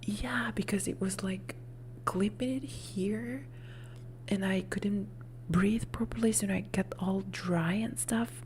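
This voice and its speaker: A woman, a native Italian speaker, chatting in a soft whisper on an ASMR live stream, rambling and correcting herself as she goes. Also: medium pitch, takes it slowly, slight background noise. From Italy.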